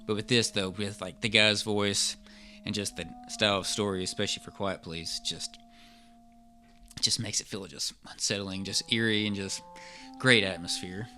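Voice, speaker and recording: A man co-hosting a podcast in casual chat, rambling along in a halting way. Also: fairly low pitch, fairly even intonation, sharp tone, soft background music. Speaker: podcast co-host.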